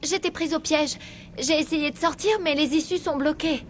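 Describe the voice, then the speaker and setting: A woman's voice: high-pitched, fairly even intonation, moderate pace, slight background noise, natural voice. A woman playing an anxious, earnest young female research assistant in a video game. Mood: anxious, explaining. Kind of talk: French video game dub.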